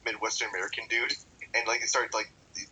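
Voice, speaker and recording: A man's voice: lowish voice, level tone, fast, bright, forceful tone, slight background noise, low-quality recording. A young man rambling in a casual podcast chat as co-host, full of fillers and crude jokes.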